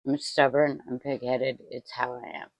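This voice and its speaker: A woman's voice: very deep voice, level tone, moderate pace, no room sound, low-quality recording. A woman in her forties chatting casually to her vlog audience at home, self-mocking and swearing freely. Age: 40s. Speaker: vlogger.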